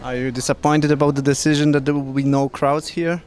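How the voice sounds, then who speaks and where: A man asking questions as a sports interviewer, in halting, non-native English. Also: on the high side, level tone, darkish tone, clear, resonant tone, soft background music. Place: large indoor lobby. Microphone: handheld reporter microphone.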